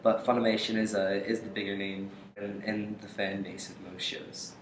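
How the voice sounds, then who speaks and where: A young man giving casual interview answers in a hesitant, halting way, pausing often. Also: fairly low pitch, little pitch movement. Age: young adult. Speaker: interview guest, aspiring voice actor. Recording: echoey room with faint noise.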